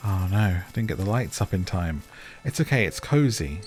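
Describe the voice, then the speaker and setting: A man's voice: low voice, lively intonation, moderate pace, a little mellow. A British man giving casual, chatty gameplay commentary, playfully talking to the characters as he goes. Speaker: gaming video host. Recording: music under the voice.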